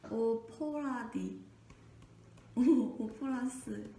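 A young woman co-hosting a casual show, soft-spoken and unhurried, sometimes dropping to a near whisper. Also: on the high side, vocal fry. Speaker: radio show co-host. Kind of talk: casual chat. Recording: echoey room with faint background noise.

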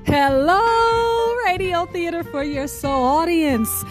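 A woman's voice: very high voice, slow. A woman hosting a radio show, giving a scripted introduction to the program. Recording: music playing behind the voice.

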